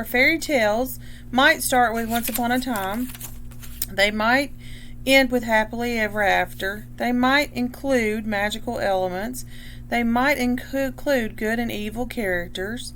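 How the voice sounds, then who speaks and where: A woman teacher reading a picture book aloud to children and explaining it as she goes, with pauses and ums. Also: fairly high pitch, fairly bright tone, slight background noise.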